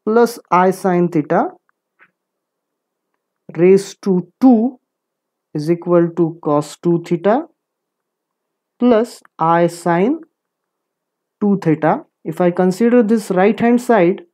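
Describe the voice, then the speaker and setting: A woman lecturing in mathematics, explaining step by step in a deliberate, instructive manner and posing questions that she answers herself. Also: on the low side, mellow. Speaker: physics lecturer.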